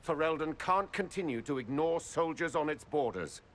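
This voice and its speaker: A man's voice: fairly high pitch, animated, moderate pace, a bit bright, slight background noise. An actor playing a stern male nobleman envoy, speaking formally and firmly. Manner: formal, firm, courtly. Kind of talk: video game cutscene acting.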